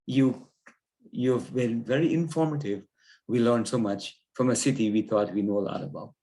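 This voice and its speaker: Man from Guyana, halting formal remarks. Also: mid-range voice, warm tone, low-quality recording. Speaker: audience member giving comments.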